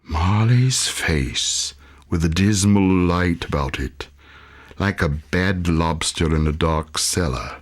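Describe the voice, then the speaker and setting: A male audiobook narrator with a very low voice. He tells a classic story at a very slow pace, theatrically, stretching out phrases with deliberate care. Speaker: audiobook reader, actor. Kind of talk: dramatic storytelling, scripted narration of a classic tale.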